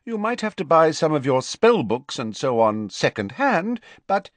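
Man acting elderly wizard schoolmaster, storybook character, calm, stern, deliberate, very expressive pitch, close dry sound. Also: no room sound. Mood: calm, helpful. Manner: measured, deliberate, courteous but firmly authoritative.

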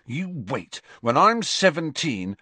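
A man's voice: on the high side, sing-song, takes it slowly, sharp tone, dry recording, pitched above the performer's own voice, exaggerated voice. A man playing a grumpy, naive teenage boy wizard in a storybook, blunt and grumbling.

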